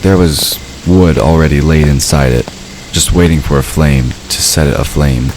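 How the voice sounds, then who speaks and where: A man's voice: rumbling low, normal speed. A man narrating a scripted story as the narrator of an audio drama. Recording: clear background ambient noise. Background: ambient sound effects.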